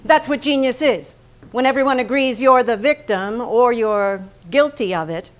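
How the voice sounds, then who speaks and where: An actress playing a painter's bitterly devoted, reproachful wife in a theatrical staged reading, with intense, biting, incantatory delivery. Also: female voice, fairly high pitch, moderate pace, lightly acted. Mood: insistent, scornful.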